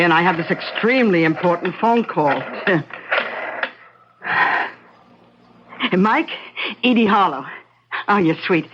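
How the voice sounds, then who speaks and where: An actress playing a breezy, pushy gambling neighbor with a brash, casual, coaxing way of talking, in an old-time radio drama. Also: female voice, on the low side. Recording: muffled, noisy old radio recording.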